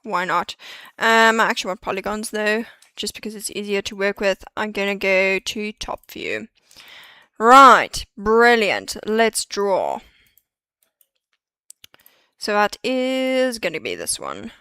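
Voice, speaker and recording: A woman's voice: mid-range voice, expressive, slow, bright, forceful tone, smooth, no room sound. A woman streaming a 3D modeling session, chatting and muttering to herself as she works through each step. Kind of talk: live-stream tutorial, thinking aloud.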